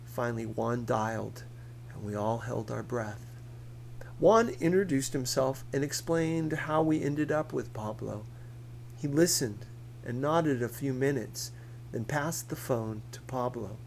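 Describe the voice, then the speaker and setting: A man reading a novel aloud as scripted narration, in a measured, deliberate manner. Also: mid-range voice, vocal fry, slight background noise, rough recording. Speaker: audiobook reader.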